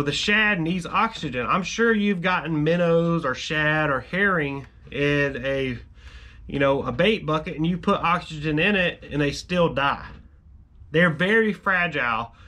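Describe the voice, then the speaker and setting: Bright-voiced chatty man explaining fishing. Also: fairly high pitch. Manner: chatty, explanatory, lots of "you know" fillers, gestures a lot. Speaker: fishing channel host. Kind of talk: casual instructional talk to camera. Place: cluttered garage workshop, indoors.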